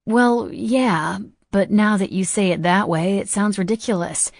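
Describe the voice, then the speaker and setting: A woman's voice: medium pitch, a little slow, gravelly, no room sound, clean studio sound. A woman voicing a prickly, self-conscious young woman in an audiobook. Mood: huffy. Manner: huffy, defensive.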